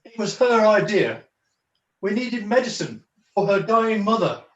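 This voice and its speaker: A man's voice: high voice, very animated, measured pace, fairly bright tone, roomy sound, highs cut off, full character voice. A man playing a weary, melodramatic jester in a comic pantomime, speaking in flowery mock-archaic phrases over a video call. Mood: comic, courtly. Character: melodramatic weary jester, a strolling player on a quest.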